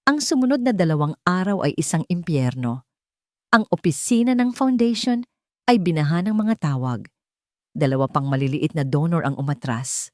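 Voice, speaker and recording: Low-voiced woman narrating, dry close sound. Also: darkish tone, dry recording. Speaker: audiobook narrator. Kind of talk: scripted dramatic story narration.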